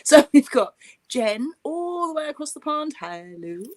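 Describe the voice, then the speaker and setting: A woman's voice: high voice, very animated, unhurried, darkish tone. A British woman co-hosting a casual chat show in a playful, jokey way. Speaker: co-host of an interactive chat show.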